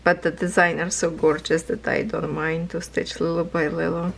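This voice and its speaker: Woman vlogger, hoarse and gravelly, slow unhurried chat about her stitching, faint room hiss. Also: deep voice, little pitch movement, poor audio. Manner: rambling, non-native phrasing, laughs often. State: losing her voice. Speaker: craft vlogger. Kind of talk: casual chat while stitching.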